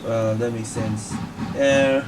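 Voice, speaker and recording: A woman's voice: rumbling low, fairly even intonation, slow pace, recorded from a distance, low-quality recording. A woman giving casual playthrough commentary as a gaming streamer, in short offhand remarks. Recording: loud game music behind voice.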